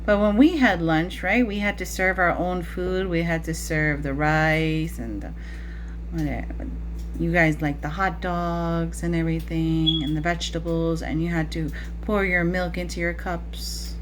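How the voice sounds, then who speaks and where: A woman's voice: fairly low pitch, steady tone, a little slow, a little hiss or hum. A woman teacher reading a picture book aloud to young children, chatting to them directly with questions and asides. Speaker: preschool teacher.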